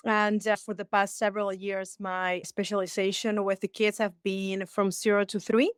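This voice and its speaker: Smooth-voiced woman with a Peruvian accent. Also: medium pitch, little pitch movement, moderate pace, dry recording, studio quality. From Peru. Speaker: interview guest, Montessori educator. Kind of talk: explanatory interview talk.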